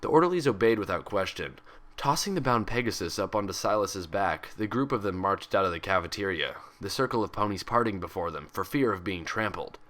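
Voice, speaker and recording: A man narrates fiction in a fairly low register. His tone is bright and forceful, with the measured phrasing of someone reading prose from a page. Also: expressive. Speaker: fan fiction reader and host. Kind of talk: scripted storybook narration.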